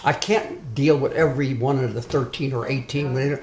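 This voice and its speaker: Loud, rambling man lecturing in a roomy hall. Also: medium pitch, fairly even intonation, normal speed, a little hiss or hum. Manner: loud, digressive, with many restarts and asides. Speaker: speaker giving a history talk. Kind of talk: informal lecture to a small audience. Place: meeting room with a live audience.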